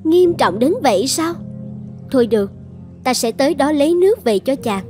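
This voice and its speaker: Woman doing a proud young dragon goddess, kinda high voice, theatrical fairy tale cartoon, soft music behind. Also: expressive, normal speed. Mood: caring. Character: proud young dragon goddess, devoted then vengeful wife. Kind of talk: animated fairy tale dubbing.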